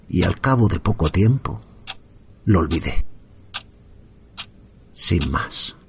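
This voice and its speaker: A man from narrating a first-person horror story in a slow, hushed, suspenseful manner. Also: sits low, creaky, husky.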